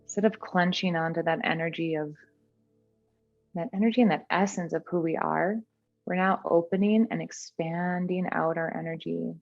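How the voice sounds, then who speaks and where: A woman guiding a meditation in a gentle, soothing, unhurried manner. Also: lowish voice, vocal fry, no echo, lo-fi sound. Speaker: meditation guide. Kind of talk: guided meditation. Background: background music.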